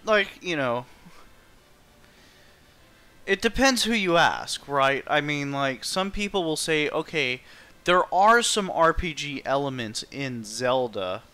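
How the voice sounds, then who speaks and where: A man's voice: fairly high pitch, expressive, takes it slowly, bright, forceful tone, clean tone. A man chatting casually while streaming himself playing a video game. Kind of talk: casual livestream chat and gameplay commentary.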